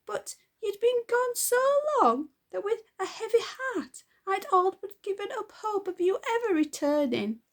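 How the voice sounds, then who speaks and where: A woman voicing a doting, apologetic mother who gushes in a very high, fluttery voice. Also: expressive, a little slow, hardly any pauses, heavily acted.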